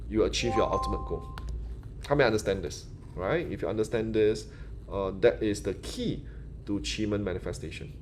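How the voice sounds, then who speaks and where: A man's voice: lowish voice, darkish tone, slight background noise. A Singaporean man with a local English accent, answering questions on a live stream in a chatty, loose teaching style. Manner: chatty, loose phrasing with restarts and "you know". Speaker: manifestation teacher hosting a live Q&A.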